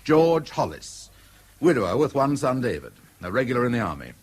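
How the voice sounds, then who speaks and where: An actor playing a gruff, domineering senior British detective in a radio drama, brusque and commanding as he reasons aloud. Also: mid-range voice, sing-song, a little fast. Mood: commanding, intrigued. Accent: British English.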